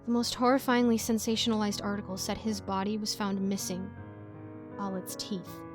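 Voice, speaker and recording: A woman's voice: medium pitch, level tone, normal speed, music in the background. A woman reading a scripted first-person horror story as its narrator, in a dramatic style.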